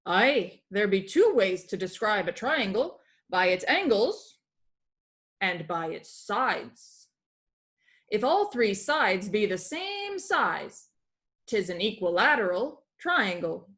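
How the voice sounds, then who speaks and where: A woman's voice: medium pitch, sing-song, slow, a bit bright. A woman voicing a hearty pirate captain creature who teaches woodworking in declamatory pirate speech for a storybook. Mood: instructive.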